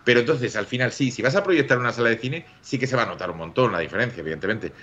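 A man's voice: medium pitch, expressive, racing, fairly bright tone, muffled recording. A middle-aged man with an accent from Spain, talking emphatically in a casual tech discussion on a video call. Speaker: livestream panelist. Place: indoors at home, video call.

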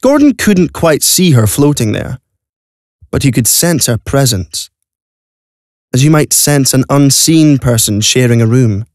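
A man narrates a story from a script. His voice sits at a medium pitch. It is recorded dry in a studio, with no room sound. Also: big pitch swings, unhurried, darkish tone, dry recording.

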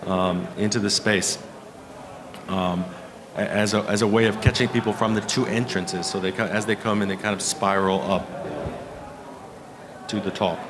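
A man in his fifties with a low voice presents at a conference. He speaks haltingly, pausing often between phrases. Clear room noise sits behind him. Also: steady tone. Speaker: keynote speaker, architect. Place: conference hall stage at a lectern.

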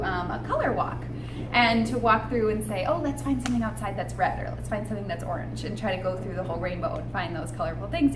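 A woman in her thirties presenting a storytime for young children in an animated, expressive way, recorded indoors a few steps from the camera. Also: on the high side. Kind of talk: read-aloud and tips for young children. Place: indoor room with painted mural wall. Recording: roomy echo, noticeable background noise.

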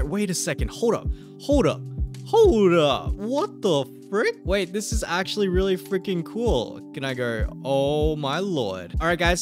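Excitable young man over game music. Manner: excitable, jokey, thinks aloud, sudden high yelps. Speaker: gaming channel host. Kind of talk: live gameplay commentary. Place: small room at a desk.

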